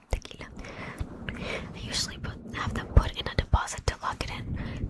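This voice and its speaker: A woman whispering an exasperated storytime while chatting with live viewers as an ASMR host. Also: low-quality recording. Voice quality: breathy whisper.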